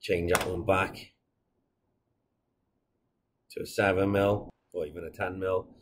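A man in his thirties demonstrates software in a fairly low voice. He explains things step by step in plain, informal language. The recording sounds like an office desk setup, with slight noise and clicking behind him. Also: flat delivery, takes it slowly. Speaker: product demonstrator for a security equipment supplier. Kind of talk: casual software tutorial.

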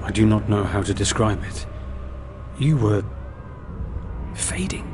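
An actor playing a steady, concerned male warrior in an audio drama, with solemn, measured, formal speech. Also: lowish voice, noisy background. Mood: grave concern.

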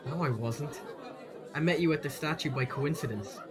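An actor playing an earnest, polite young aspiring chef in a children's audio drama. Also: male voice, a little high, moderate pace, lightly acted. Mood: earnest, anxious. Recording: faint crowd behind the voice.

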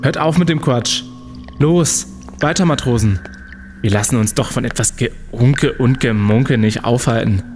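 A man's voice: medium pitch, a little slow, darkish tone, faint music behind, close to an everyday voice. An actor playing a bossy, undaunted young male student leading adventurers in an audio drama, with commanding bravado. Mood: cocky.

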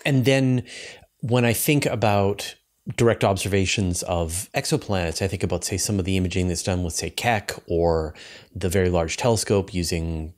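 Deep-voiced middle-aged male interviewer. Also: fairly even intonation, moderate pace. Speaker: interviewer, host. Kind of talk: conversational interview questioning. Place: indoor room, video call.

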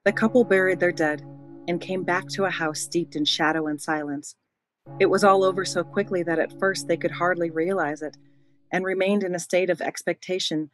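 A narrator delivering scripted dramatic storytelling for a radio play. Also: medium pitch.

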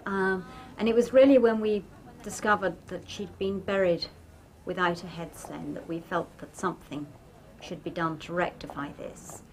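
A woman's voice: fairly low pitch, fairly even intonation, moderate pace, few pauses, a little hiss or hum, low-quality recording. A woman, giving an informal account as an event organiser. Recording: clear crowd chatter behind.

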